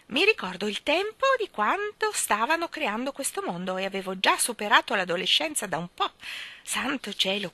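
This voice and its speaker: A woman voicing a tiny, ancient, bossy old shopkeeper with a shrill, crackly voice. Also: creaky, sharp tone, no echo, full character voice.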